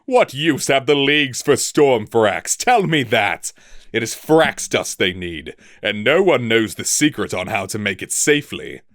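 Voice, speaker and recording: Man doing a raging ship captain, loud and scornful, pitched above his usual voice, dry close sound. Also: big pitch swings, unhurried, no echo. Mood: angry commanding. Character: proud, angry male sky-ship captain.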